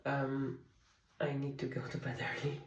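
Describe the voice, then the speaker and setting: A woman in her thirties whose first language is Polish, speaking in a soft, candid, confiding way in a personal diary vlog at home. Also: very deep voice, monotone, measured pace, roomy sound, recorded from a distance, slight background noise. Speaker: vlogger. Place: home, at night.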